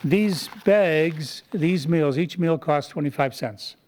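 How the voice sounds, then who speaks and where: A man's voice: a little high, slow, darkish tone. A man speaking as a community volunteer, giving an earnest, explanatory presentation at a public meeting. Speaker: community volunteer presenter, Rotary club member. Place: town board meeting room.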